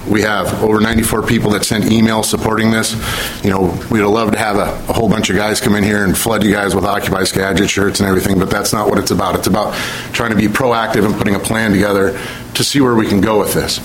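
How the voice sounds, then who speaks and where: Earnest low-voiced man at a public hearing. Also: fairly even intonation, a little hiss or hum, poor audio. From Washington State. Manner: earnest, halting, many fillers. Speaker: public commenter. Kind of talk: public comment at a commission meeting. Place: public meeting room. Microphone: speaking away from a mic.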